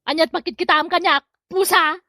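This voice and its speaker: A woman's voice: extremely high pitch, steady tone, fairly quick, pushed and bright, dry recording, studio quality, full character voice. A woman playing a nosy, giggly village gossip who is easily offended, in Ilocano comedy drama, with an Ilocano accent. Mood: offended, bickering.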